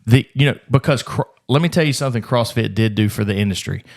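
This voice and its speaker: Fast-talking man telling a gym story. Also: mid-range voice, gravelly. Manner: fast, rambling, swears freely. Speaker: gym owner, podcast host. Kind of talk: casual podcast storytelling.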